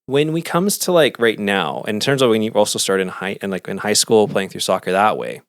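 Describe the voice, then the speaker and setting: A man's voice: fairly low pitch, expressive, brisk, clean tone, no echo, studio quality. A man born in Canada hosting a casual interview podcast, asking rambling questions that he keeps correcting as he goes, with many fillers. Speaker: podcast host.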